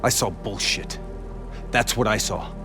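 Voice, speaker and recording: A man playing a cynical, sarcastic special forces veteran in a video game, blunt and gruff in manner. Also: lowish voice, measured pace, music in the background, natural voice. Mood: bitter.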